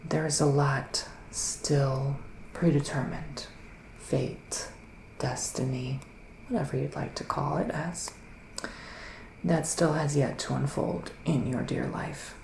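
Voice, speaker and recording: A man performing a soft-spoken, often whispered ASMR roleplay as a psychic witch, musing in a hesitant, drawn-out, mystical way. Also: mid-range voice, fairly even intonation, gravelly, roomy sound, a little hiss or hum, low-quality recording. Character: psychic male witch giving a mystical reading.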